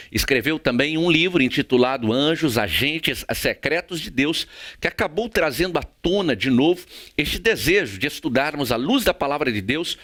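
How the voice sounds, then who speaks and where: A Brazilian man giving a prepared theology lecture in a formal, didactic way with measured phrasing. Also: on the high side, fairly bright tone. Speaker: theology teacher.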